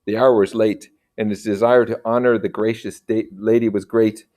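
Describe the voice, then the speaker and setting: Male story reader, fairly low voice, narrating with informal commentary and questioning asides. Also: fairly even intonation, normal speed, a little mellow. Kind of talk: story reading with casual asides.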